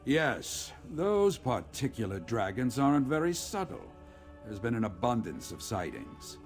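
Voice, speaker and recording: A man playing a remorseful, resolute middle-aged general in a video game, with a gravelly voice and formal, measured military speech. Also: mid-range voice, big pitch swings, soft background music. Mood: businesslike. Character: remorseful, resolute veteran male general, father of a grown daughter. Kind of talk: scripted video game character dialogue.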